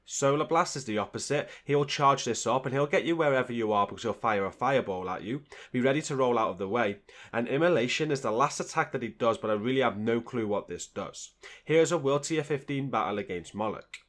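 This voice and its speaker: A man's voice: medium pitch, a little fast, a bit bright. A man narrates a video game guide in a mid-range voice. His delivery is scripted and explanatory, like step-by-step gameplay commentary.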